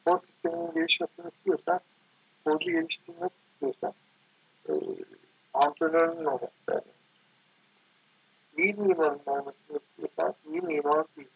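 Casting: man, very raspy and creaky, halting and self-correcting interviewee, casual and reflective, dull lo-fi sound. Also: a little high, lively intonation. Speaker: architect guest being interviewed.

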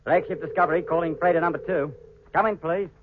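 A man acting a brisk, practical spaceship chief engineer who is quick to snap, in 1950s British radio drama style. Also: a little high, steady tone, lightly acted. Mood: businesslike. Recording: dull, noisy 1950s radio recording.

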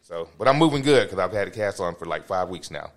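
A man's voice: sits low, expressive, quick pace. A man in his fifties telling crude stories on a casual podcast, rambling and restarting his phrases. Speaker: podcast co-host. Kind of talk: casual chat, crude storytelling.

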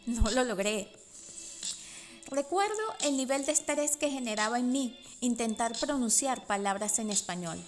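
A woman in her forties with a Venezuelan Spanish accent, reading her own personal essay in a reflective, measured way. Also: sits high. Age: 40s. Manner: reflective, measured, with soft laughs. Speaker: podcast host and writer.

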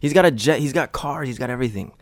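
A man's voice: medium pitch, lively intonation, fast talker, no echo, studio quality. A man hosting a podcast, talking in a conversational, earnest way with his guest. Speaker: podcast host. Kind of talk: casual podcast talk. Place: studio.

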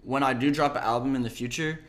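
A man's voice: medium pitch, fairly even intonation, fast. Laid-back slangy young man. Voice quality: soft voice. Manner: laid-back slangy talk, lots of "like" and "bro". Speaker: musician talking about his EP. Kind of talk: casual interview chat.

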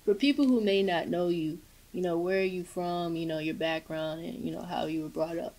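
Host: woman, slightly low voice, loose chatty questions, many fillers. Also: fairly even intonation, a little fast, darkish tone, rough recording. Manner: frequent fillers, many short affirmations and laughs. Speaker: interview host. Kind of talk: casual interview.

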